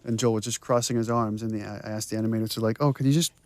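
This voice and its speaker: A man's voice: lowish voice, steady tone, racing, a little mellow. A man speaking as a game director, giving unscripted, conversational commentary and analysing scenes as he thinks aloud.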